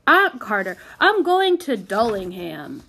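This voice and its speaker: A woman's voice: medium pitch, big pitch swings, takes it slowly, sharp tone, husky, heavily acted. A woman voicing a growly male carter with rustic, folksy speech in a storybook. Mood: surly. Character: sour, growling male carter, softened by flattery.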